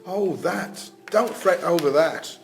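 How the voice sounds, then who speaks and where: A man's voice: high-pitched, slow, gravelly, exaggerated voice. A man in an amateur drama reading, playing a kindly male boss who talks politely and reassuringly. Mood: genial, reassuring. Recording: faint background noise.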